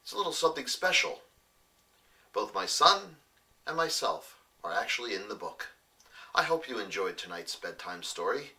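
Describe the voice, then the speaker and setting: A man's voice: fairly low pitch, very animated, normal speed, bright, forceful tone, breathy edge. A man narrating a rhyming children's bedtime story as its author.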